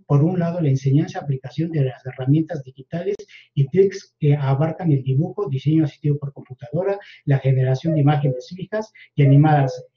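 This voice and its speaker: A man giving a formal academic presentation in wordy sentences, halting in places, over an online call. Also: a little high. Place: virtual conference video call. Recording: dull, band-limited online call audio.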